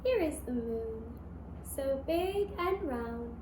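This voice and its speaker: A woman narrating a fairy tale for a puppet show in a gentle, hushed, deliberate storytelling manner. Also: high voice, lively intonation, reverberant, noisy background. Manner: slow, hushed, deliberate storytelling in short phrases.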